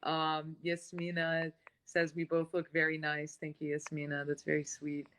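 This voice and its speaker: A woman's voice: sits low, monotone, moderate pace, a bit bright. A woman interviewing in a casual live-stream chat, enthusiastic and laughing often.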